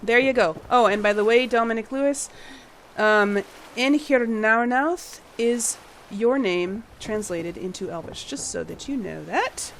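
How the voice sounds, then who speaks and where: Slightly husky woman, chatty and tired. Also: fairly high pitch, measured pace, a little hiss or hum. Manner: chatty, apologetic, laughs often. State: slightly husky from a fading cold; tired, yawning late at night. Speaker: gaming channel host. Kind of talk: casual game-building commentary. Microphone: home voice mic.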